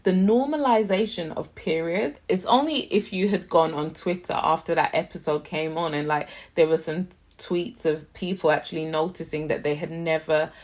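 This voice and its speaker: Low-voiced chatty British woman. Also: normal speed, hardly any pauses. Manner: chatty, slangy, animated asides. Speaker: YouTube TV reviewer. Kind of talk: casual spoken review.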